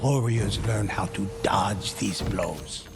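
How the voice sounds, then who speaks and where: Deep, gravelly old storyteller. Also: male voice. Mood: solemn, instructive. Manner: measured, grave, theatrical. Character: solemn old male storyteller chronicling ghostly samurai legends. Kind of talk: dramatic scripted narration. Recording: loud music under the voice. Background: loud cinematic game music.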